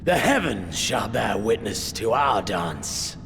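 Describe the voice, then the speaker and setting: A man's voice: fairly low pitch, sing-song, takes it slowly, creaky, noisy background, full character voice. An actor playing a bloodthirsty, aristocratic warlord in a video game, theatrical and grandiloquent, savouring each phrase like a hunter with his prey. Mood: hungry, intense. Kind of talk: dramatic video game voice acting.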